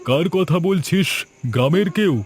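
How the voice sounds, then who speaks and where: A man's voice: on the high side, lively intonation, unhurried, darkish tone, faint music behind. A man voicing a doting middle-aged father, a village police officer, in a cartoon. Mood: doubtful.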